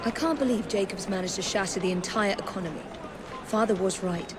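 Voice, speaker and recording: A woman with an English accent playing a brisk, exasperated young Victorian heroine in a video game, dry and decisive. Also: medium pitch, background noise, close to an everyday voice.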